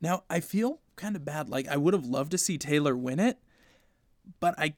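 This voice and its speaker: A man's voice: a little high, normal speed, no echo, clean studio sound. A man hosting a casual fan podcast, chatty and playful, laughing often. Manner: playful, laughs often, animated when quoting. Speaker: podcast host.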